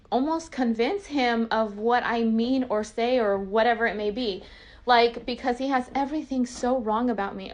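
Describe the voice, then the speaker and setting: A woman candidly telling a personal story in an emotional, venting way, passionate and emphatic, with "like" peppered all through her sentences. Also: fairly high pitch. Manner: emotional, venting, says "like" constantly. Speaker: online content creator.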